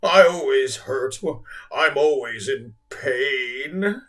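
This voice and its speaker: A deep, droning blue whale, slow and mopey, wallowing in his own sorrow, as a man reads him aloud from a storybook. He drags out every word in a lively, rising-and-falling groan. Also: close to an everyday voice. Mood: self-pitying. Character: huge sad self-pitying male blue whale.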